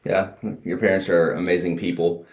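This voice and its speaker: A man's voice: sits low, flat delivery, moderate pace, darkish tone. A man hosting a casual podcast interview, asking his guest questions in a chatty, friendly way. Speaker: podcast host. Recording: roomy echo.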